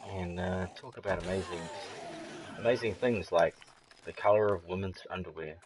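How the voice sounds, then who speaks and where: A man's voice: deep voice, steady tone, moderate pace, noisy background. A man streaming a game live, chatting casually with viewers, swearing freely and laughing often as he rambles through jokey asides. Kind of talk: live gameplay commentary.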